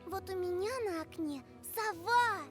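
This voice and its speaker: An actor voicing a lively, stubborn little girl in a visual novel, excitable and insistent. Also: extremely high pitch, moderate pace. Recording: loud music behind the voice.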